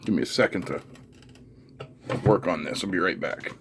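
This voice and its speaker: Middle-aged man, lowish voice, casual workbench narration, muttering as he works, noisy recording with clicks. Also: moderate pace. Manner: unscripted, muttering to himself while working. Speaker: host of an electronics teardown video.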